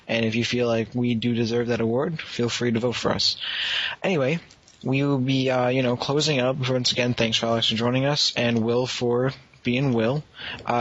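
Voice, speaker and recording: A man's voice: mid-range voice, a bit bright, no echo. A man hosting a podcast in a casual chatty style, on a dull lo-fi recording. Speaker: podcast host. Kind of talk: casual chat. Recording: dull lo-fi voice chat.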